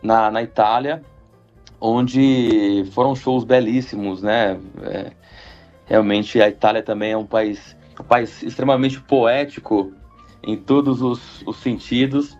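Rambling musician guest, dull lo-fi sound. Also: male voice, mid-range voice, fairly even intonation, slow, a little mellow, soft background music. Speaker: double bassist, guest. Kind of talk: radio interview.

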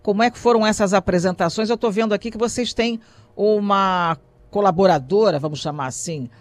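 A man's voice: sits high, fairly quick, no echo. A man interviewing a guest on the radio, chatty and upbeat, joking easily.

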